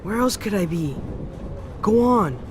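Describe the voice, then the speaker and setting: A man's voice: high voice, animated, a little slow. An actor playing a loyal, nerdy young male student in a dramatic video game, talking in an earnest, casual, wry way. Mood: curious, supportive. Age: young adult. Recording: storm noise behind.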